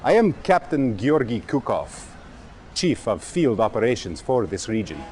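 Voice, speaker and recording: An actor playing a brisk Soviet field officer, giving a formal, emphatic military briefing in a video game. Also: male voice, medium pitch, big pitch swings, darkish tone, slight background noise. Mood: brisk, resolute.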